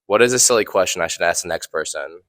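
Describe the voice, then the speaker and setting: A man's voice: low-pitched, quick pace, fairly bright tone, no echo, clean studio sound. A teenage boy reporter asking people silly questions in a casual, cheerful way. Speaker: student reporter. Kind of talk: man-on-the-street interviews.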